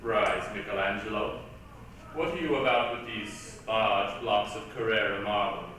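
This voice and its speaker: A man playing an imperious, impatient high churchman in a stage reading, with a pompous, commanding, clipped delivery. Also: unhurried, bright, forceful tone. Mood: stately command. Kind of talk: theatrical readers' theatre dialogue. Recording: echoey hall, distant mic, hissy old tape.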